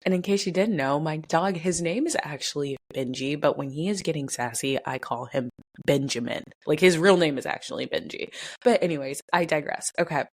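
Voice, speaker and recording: Young woman, wellness podcast host; low, stuffy, a bit raspy; friendly and chatty. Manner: chatty, upbeat, addresses listener as bestie. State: congested, stuffy. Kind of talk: casual wellness podcast chat.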